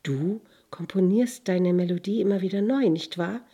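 A woman's voice: mid-range voice, normal speed, mellow, slightly rough. A woman voicing a curious, earnest little girl in a spoken fairy tale. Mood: pleading. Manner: earnest, questioning.

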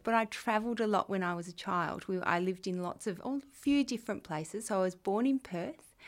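An Australian woman in her forties, a school leader answering students' interview questions in an earnest, chatty way. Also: fairly low pitch. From Western Australia. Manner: warm, earnest, chatty with fillers. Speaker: school head of junior years.

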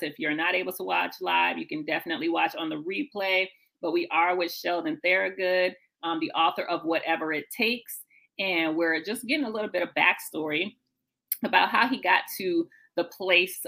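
A woman's voice: fairly low pitch, creaky, bright, forceful tone. An American woman hosting a live interview show, affirming and recapping what her guest has shared before asking more.

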